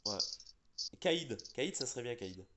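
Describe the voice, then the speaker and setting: A man's voice: mid-range voice, animated, unhurried, very noisy. A man hosting casual gaming chat, a bit congested from being sick, rambling with fillers and restarts. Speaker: gaming channel host.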